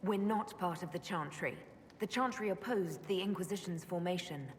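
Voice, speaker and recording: Female fantasy leader, played by an actress: measured, earnest, inquisitive game dialogue, long reverb, clear ambient noise. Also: on the low side, natural voice. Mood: earnest, questioning. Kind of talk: video game character dialogue.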